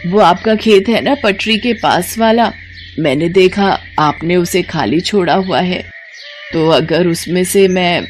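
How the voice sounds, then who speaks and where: A woman voicing a poor, worried elderly village mother-in-law who talks in a weary, plaintive, blunt way in an animated story. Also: lowish voice, slow. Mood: pleading. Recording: noticeable background noise.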